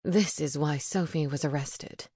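Bossy owl familiar for a fantasy storybook, played by a woman: lowish male register, insistent, commanding, dry sound. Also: measured pace, dark tone, husky, dry recording. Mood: grave, matter-of-fact.

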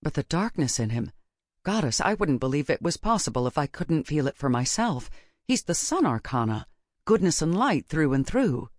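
A woman's voice: low-pitched, very animated, normal speed, no room sound, clean studio sound. A woman voicing a sensitive, grieving female witch professor in a fantasy audiobook. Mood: troubled disbelief. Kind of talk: audiobook character dialogue.

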